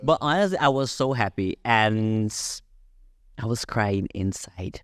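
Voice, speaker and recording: A man's voice: on the low side, lively intonation, a little slow, clean tone. A man with a Swiss accent, co-hosting a casual chat that reviews a show, telling stories and talking straight to viewers. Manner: chatty, storytelling, addresses viewers as you guys. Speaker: drag queen podcast co-host.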